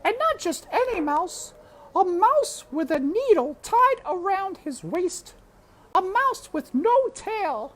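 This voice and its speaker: A woman's voice: extremely high pitch, big pitch swings, takes it slowly, fairly bright tone, a little hiss or hum, voice pushed up, full character voice. A woman voicing a nervous, jolly old female cook from a storybook, folksy and full of exclamations. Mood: jolly, relieved. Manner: exclamatory, folksy, cackling ho-hees. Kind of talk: storybook character voice.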